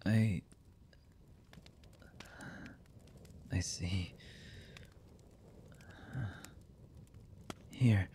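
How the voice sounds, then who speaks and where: Guy voicing a young anime villain boyfriend, low husky voice, super slow n hushed, guarded, wounded, asmr style. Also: steady tone, background noise. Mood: tender, awkward. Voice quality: husky, breathy. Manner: slow, halting, hushed delivery with long pauses. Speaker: voice actor.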